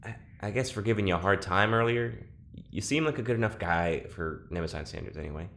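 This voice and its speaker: An actor playing a guarded, awkward, reluctant male test subject in an audio drama, speaking in a halting, subdued way. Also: on the low side, vocal fry, a bit bright. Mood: reluctant, apologetic. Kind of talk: naturalistic audio drama acting.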